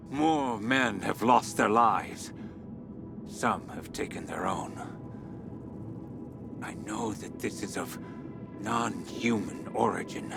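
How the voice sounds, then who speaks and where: An actor playing an obsessed researcher sliding into messianic madness in a video game audio log, speaking haltingly and broodingly, at times breaking into whispers or cries. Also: male voice, lowish voice, gravelly. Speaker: expedition leader recording audio logs. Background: background music.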